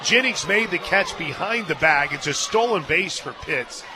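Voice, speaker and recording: A man doing live play-by-play softball commentary for radio from a stadium booth. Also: on the high side, animated, normal speed. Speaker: play-by-play announcer. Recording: crowd noise behind. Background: ballpark crowd and music.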